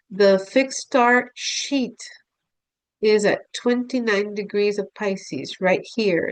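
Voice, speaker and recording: A woman speaks with a stuffy, nasal voice from a cold. She talks slowly and loosely to her viewers, with plenty of fillers and restarts. The recording is dry, with little room sound. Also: mid-range voice, no room sound, rough recording. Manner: unhurried, frequent fillers and restarts, addresses viewers as "you guys". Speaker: astrologer. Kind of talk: casual explanatory talk to camera.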